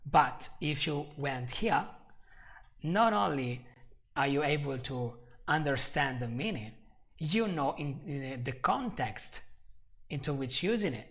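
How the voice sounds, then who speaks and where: Man, Italian accent, English teacher, slow delivery, gravelly voice, patient and repetitive. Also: a little high, fairly bright tone. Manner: patient, repeats and restarts while explaining. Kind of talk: live-stream English lesson.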